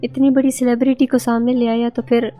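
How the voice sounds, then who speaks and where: A woman's voice: a little high, flat delivery, fairly quick, warm tone. A woman in casual, teasing gaming voice chat. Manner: teasing, bantering. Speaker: gamer in team voice chat.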